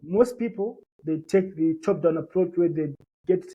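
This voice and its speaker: A man's voice: sits high, normal speed, slightly rough, narrow, tinny audio. A man from Zimbabwe whose first language is Shona, a company founder talking earnestly in an interview, with many fillers and repeated words. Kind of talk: interview, conversational business pitch.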